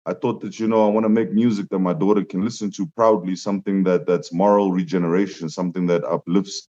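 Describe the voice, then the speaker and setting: A young man with a very low, deep voice tells his story in a relaxed interview. He gets animated, often laughing and lifting his voice for quoted lines. The sound is dull and lo-fi. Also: quick pace. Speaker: musician guest.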